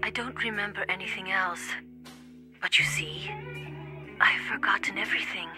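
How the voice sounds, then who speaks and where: A woman playing a cool, seductive agent of an assassin league in a video game, teasing and breathy. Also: mid-range voice, steady tone, normal speed, close to an everyday voice. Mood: wistful, hushed. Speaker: voice actor in a game. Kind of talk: video game cutscene acting. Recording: game audio with music underneath.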